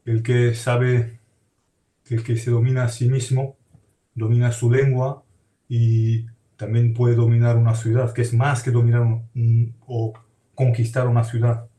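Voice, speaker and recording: A man speaking in a casual group discussion, halting and restarting, repeating words. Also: mid-range voice, level tone, warm tone, reverberant. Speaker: study group participant.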